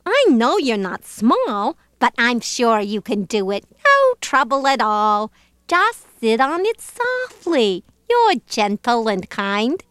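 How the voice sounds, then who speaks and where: A woman's voice: on the high side, sing-song, slow, fairly bright tone, clear, resonant tone, no echo, heavily acted. A woman voicing a lazy, flighty mother bird from a children's storybook, coaxing in a sing-song pleading way.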